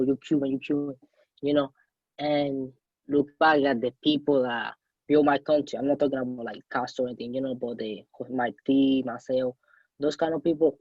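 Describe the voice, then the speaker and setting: A man from Cuba talks in a loose, rambling way, full of "you know" fillers. His voice sits at a middle pitch. The sound is dull and dry, with no room around it. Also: darkish tone, husky, no echo. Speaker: student panelist. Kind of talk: casual panel answer.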